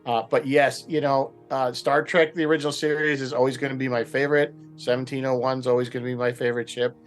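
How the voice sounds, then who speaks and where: A man in his fifties from southern Connecticut with a middle-pitched voice. He talks as a fan on a panel, reminiscing casually in a halting, reflective manner. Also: level tone, faint music behind. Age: 50s. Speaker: panel guest.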